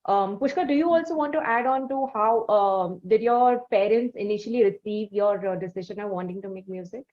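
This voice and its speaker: A woman's voice: medium pitch, fairly quick, muffled recording. A woman from South India hosting an interview, asking long questions full of fillers and restarts. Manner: hesitant, many fillers. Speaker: interviewer host.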